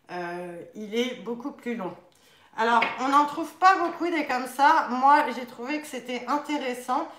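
Young woman chatting thru a demo at home, kinda high voice, roomy echo. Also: a bit bright, slight background noise. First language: French. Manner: chatty, many fillers, demonstrating while talking. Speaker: nunchaku instructor. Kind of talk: casual product demonstration live stream. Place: living room at home, indoors. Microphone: camera across a table. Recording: echoey room.

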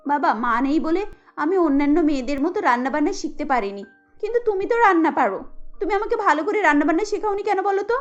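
A woman's voice: extremely high pitch, expressive, quick pace, highs cut off, faint music behind. A woman voicing an earnest, anxious young daughter-in-law in a cartoon, pleading and polite. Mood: worried, pleading.

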